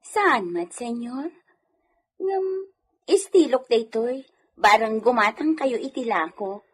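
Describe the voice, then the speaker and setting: An actress voices a young village woman in an Ilocano radio comedy, respectful and wistful. Her voice is high and she speaks slowly and expressively. Some noise sits behind her. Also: animated.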